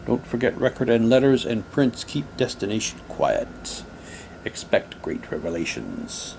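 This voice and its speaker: A man's voice: mid-range voice, big pitch swings, a little slow, gravelly, a little mellow, slight background noise. A man doing a casual unboxing, talking in a rambling, repetitive way and reading printed items aloud. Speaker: hobby vlogger unboxing audio drama sets.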